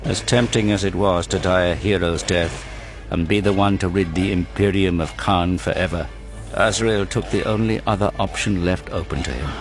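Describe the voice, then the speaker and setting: A man narrating a war story in a grave, deliberate, dramatic scripted style. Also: sits low. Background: prominent dramatic music.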